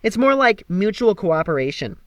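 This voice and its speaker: A bratty young street girl, voiced by a male reader, flippant and hard to faze. The pitch sits high, and the delivery is blunt and dismissive, in storybook style. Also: big pitch swings, moderate pace, no echo. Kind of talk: audiobook character acting.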